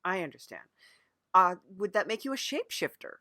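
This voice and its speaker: A woman hosting a casual improvised comedy interview, asking questions in a hesitant, deadpan way. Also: on the low side, fairly quick, no echo, studio quality. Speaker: podcast host and interviewer.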